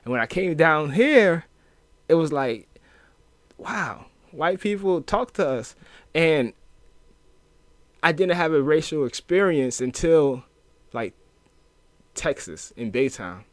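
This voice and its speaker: A man from the inner city who moved south, a guest talking in a loose, profane way with lots of fillers and lively retelling. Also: on the high side, takes it slowly, long pauses, dry recording. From US inner city, moved to Louisiana. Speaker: podcast guest. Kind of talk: casual chat.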